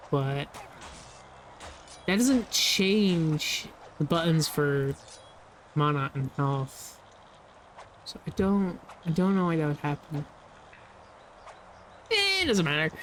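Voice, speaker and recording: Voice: mid-range voice, slight background noise, low-quality recording. A young adult gamer talking loosely over gameplay, rambling, laughing often and swearing casually. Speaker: gameplay video creator.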